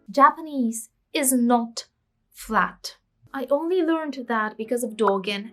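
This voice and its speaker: A woman's voice: a little high, fairly bright tone. Candid, self-mocking woman vlogger, Bulgarian native. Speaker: language-learning vlogger. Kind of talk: scripted vlog narration.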